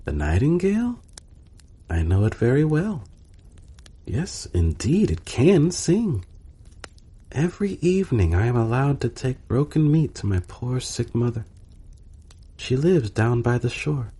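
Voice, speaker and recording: A gentle young kitchen maid from a storybook, as a man reads her, pitched well above his own low voice. The delivery is soft, husky and earnest, with a tender, wistful sincerity. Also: sing-song, unhurried, pauses often.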